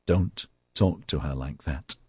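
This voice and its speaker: A man's voice: a bass voice, slow pace, breathy edge, no room sound, studio quality, exaggerated voice. A man voicing a tough, coolly menacing woman in a narrated novel, curt and controlled. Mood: curt menace.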